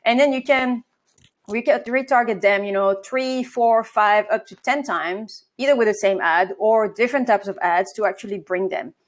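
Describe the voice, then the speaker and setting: A woman's voice: on the high side, normal speed. A woman from France with a French accent, a marketing agency co-founder answering interview questions, with hesitations and self-corrections.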